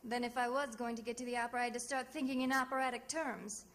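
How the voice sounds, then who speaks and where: A woman playing a sassy, quick-witted private detective in 1940s radio drama style, narrating with wry, breezy wisecracks. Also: a little high, steady tone, a bit bright. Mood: wry narration. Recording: noisy old radio recording.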